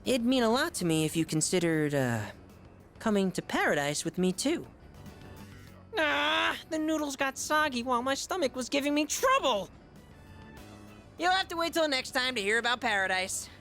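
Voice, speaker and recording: A woman's voice: high-pitched, animated, moderate pace, fairly bright tone, soft background music. A woman playing a boastful, eccentric young glutton in a video game. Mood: jovial, grandiose.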